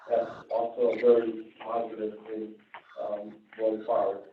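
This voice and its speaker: Presenter, man with Indian accent, reads board policy aloud with many "uh"s and restarts, heard far off in an echoey room. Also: medium pitch. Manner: reads from notes with frequent "uh" and restarts, adds casual asides. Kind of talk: reading policy items at a public board meeting. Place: meeting room. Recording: distant, phone-like, roomy with faint hiss.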